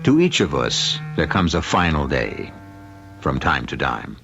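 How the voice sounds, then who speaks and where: A man narrating an old-time radio mystery in a deliberate, solemn, portentous manner. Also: rumbling low, huge pitch swings, gravelly, full character voice. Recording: dull, lo-fi radio recording. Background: music.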